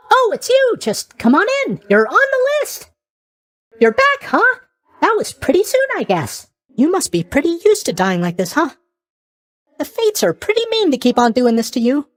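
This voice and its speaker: A man's voice: very high-pitched, no echo, exaggerated voice. A man voicing a sleepy, scatterbrained young god of sleep in a video game, airy, hesitant and guilelessly blunt. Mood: sheepish, chirpy. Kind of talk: animated video game character voice lines.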